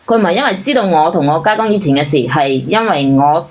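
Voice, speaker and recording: A woman's voice: on the low side, animated, quick pace, darkish tone. A woman caller, talking casually and defensively about her own life, insisting on her side. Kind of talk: casual personal confession and argument. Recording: hissy, clearly noisy call audio.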